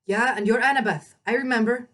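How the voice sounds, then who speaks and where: A woman's voice: fairly high pitch, a little fast, a bit bright, far from the mic, voice pushed up. A woman reading the part of a bitter teenage boy fighter in a storybook, sneering and dismissive. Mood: defensive.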